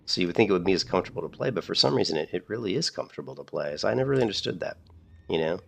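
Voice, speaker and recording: A man in a casual, rambling chat about guitars, losing his voice a little and clearing his throat often. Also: low-pitched, lively intonation, very fast. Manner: rambling, repeats himself, frequent throat clearing. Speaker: streamer.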